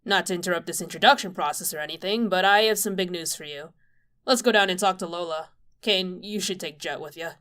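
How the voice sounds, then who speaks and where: A woman's voice: on the low side, a little fast, fairly bright tone, natural voice. A woman playing a practical young revolutionary in an audio drama, casual and matter-of-fact. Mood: businesslike.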